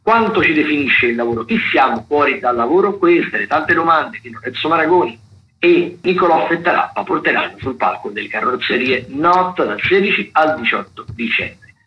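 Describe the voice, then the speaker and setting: A man's voice: fairly high pitch, expressive, moderate pace, lo-fi sound. A man hosting a radio programme, reading out listings in a semi-scripted way, often breaking off and correcting himself. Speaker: radio host of a theatre programme. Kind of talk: semi-scripted spoken listings of theatre shows. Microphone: radio studio microphone.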